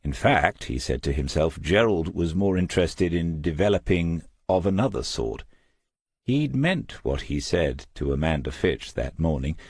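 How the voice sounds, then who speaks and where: A man with an extremely low, husky voice reads crime fiction aloud. His storytelling is measured and reflective. The sound is dry and close, with no sense of a room around him. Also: sing-song, darkish tone, dry recording. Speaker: audiobook narrator. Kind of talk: scripted crime novel narration.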